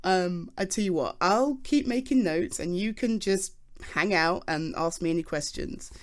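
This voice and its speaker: A woman acting out a nerdy, good-humoured geologist with a British accent and a slightly low voice. She talks in a chatty, halting way, as if explaining to one listener in an ASMR roleplay. She is flustered but keen and earnest about her subject. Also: close to an everyday voice. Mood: playful, animated. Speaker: audio roleplay performer. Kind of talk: ASMR roleplay, conversational one-sided dialogue.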